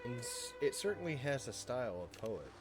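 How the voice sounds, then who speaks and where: A man streams a story game and reacts out loud. His delivery is animated and casual, with blunt, joking, sweary remarks. Game music plays clearly behind him. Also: mid-range voice, moderate pace.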